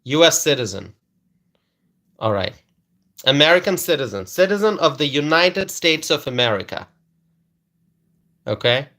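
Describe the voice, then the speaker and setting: A man's voice: on the high side, sing-song, a little slow, long pauses, bright, forceful tone. A man from Bangladesh, hosting a political commentary live stream, repeating his points and tagging them with "okay?", greeting viewers by name. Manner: repetitive, keeps adding "okay?" tag questions; hesitant fillers and restarts, greets viewers by name.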